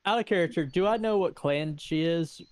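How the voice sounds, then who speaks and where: A man's voice: fairly high pitch, fairly quick, slight background noise. A man playing a tabletop roleplay, chatting casually and hesitantly, often repeating himself as he thinks. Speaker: tabletop game player.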